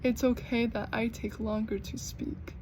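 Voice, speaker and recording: A young American woman who stutters, with repeated sounds and blocks, talking slowly and earnestly in a personal vlog. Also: on the high side, little pitch movement, background noise. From United States. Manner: slow, earnest, gently encouraging. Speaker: vlogger.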